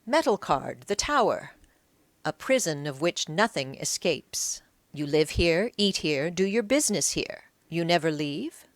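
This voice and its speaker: Woman doing a shrewd egyptian slave detective guy playing fortune teller, measured n probing, audiobook style, pretty expressive. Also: low voice, no room sound. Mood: sly, measured. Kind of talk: audiobook character acting.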